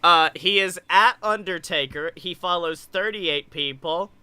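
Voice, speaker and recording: Young man, high pitch, sharp bright tone, dry close sound, animated, profane, casual podcast banter. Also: measured pace, clean tone, no echo. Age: young adult. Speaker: podcast co-host. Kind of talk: casual comedic chat. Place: bedroom-like room at home, video call.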